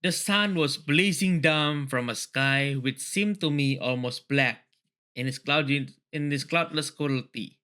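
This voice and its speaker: Bright-voiced man reading aloud and chatting. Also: fairly high pitch. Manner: excitable, often laughing and digressing. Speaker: host reading a story aloud with commentary. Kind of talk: read-aloud mixed with casual chat commentary.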